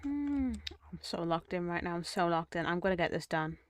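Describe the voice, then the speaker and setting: A young woman streamer with a croaky, sore voice, chatting casually and muttering to herself while she plays. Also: on the low side, lively intonation, fairly quick, no echo. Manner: rambling, self-mocking, mutters to herself. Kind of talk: casual livestream chat while gaming.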